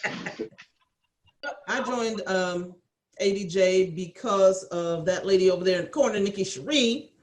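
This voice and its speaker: A woman's voice: mid-range voice, a little slow, pauses often, a little hiss or hum. A woman chatting casually as a cast member on a group video call. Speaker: voice actor and sound engineer. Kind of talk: casual introduction and chat. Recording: echoey room on video call.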